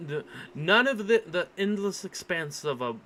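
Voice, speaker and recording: A man's voice: high-pitched, lively intonation, normal speed. A man live-streaming a game with casual, rambling commentary, reacting playfully and repeating phrases. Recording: clear background noise on home stream.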